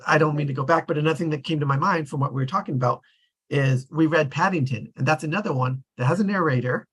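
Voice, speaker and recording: A man's voice: on the high side, fast talker, fairly bright tone, breathy edge. A man hosting a casual group discussion in a tentative, rambling way. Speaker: discussion host.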